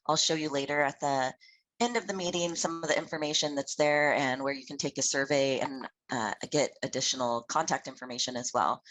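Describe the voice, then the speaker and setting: Woman running a video call meeting, pretty low voice, sick and losing it, slow careful talk with lots of ums. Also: a bit bright, rough recording. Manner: careful, deliberate delivery with frequent ums. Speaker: meeting facilitator. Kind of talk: public meeting presentation. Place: virtual meeting, home or office.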